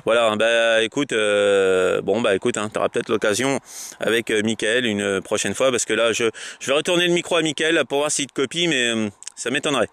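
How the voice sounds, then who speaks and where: A man chatting casually as a radio operator relaying messages, halting and repeating himself as he goes. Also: medium pitch, fairly even intonation, fairly bright tone, a little hiss or hum. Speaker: amateur radio operator relaying between contacts. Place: outdoors.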